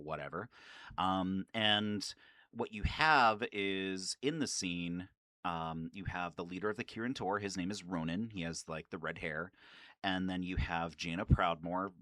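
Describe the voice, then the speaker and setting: A man with a low, bright-edged voice chats as a gaming creator. He rambles enthusiastically and swears casually. The sound is very dry, with no room to it. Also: no echo. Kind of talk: casual, nerdy commentary on favorite game lines.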